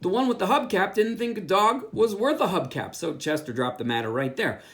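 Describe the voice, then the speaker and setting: A pastor reading a children's picture book aloud, with playful asides. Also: male voice, sits high, brisk. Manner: animated storytelling with asides.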